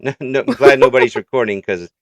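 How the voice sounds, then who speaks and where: A man's voice: medium pitch, quick pace, dry recording, studio quality. A middle-aged man hosting a casual interview, joking and self-mocking with frequent laughs. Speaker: interview host.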